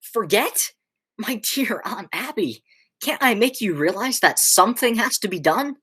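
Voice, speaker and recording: A teenage woman playing an agitated, horrified young man who stammers and exclaims in a high school radio play. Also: lively intonation, unhurried, sharp tone, slightly rough, full character voice. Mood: horrified, frantic.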